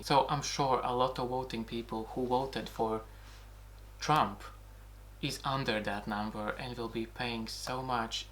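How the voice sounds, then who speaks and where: A man's voice: medium pitch, a bit bright, slight background noise. A man from Latvia with a Latvian accent, talking earnestly and indignantly in an opinion monologue to camera. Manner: earnest, indignant, repeats "crazy" and "mad". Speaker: video blogger.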